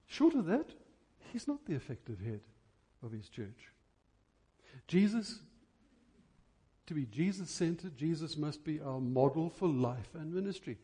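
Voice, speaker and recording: A man preaching an impassioned church sermon, emphatic, with rhetorical questions and deliberate stresses on key words. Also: on the high side, sing-song, warm tone.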